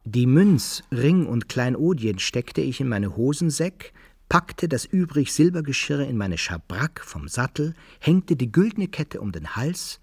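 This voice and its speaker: Animated male radio-play narrator. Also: medium pitch, husky, no echo, studio quality. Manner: expressive storytelling, archaic wording.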